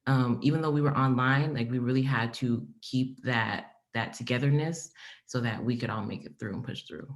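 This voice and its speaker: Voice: mid-range voice, moderate pace. A panelist with a mid-range voice talks about med school in a casual, loose way. Fillers and hedges run through the speech. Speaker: panelist, medical student. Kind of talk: unscripted panel answer.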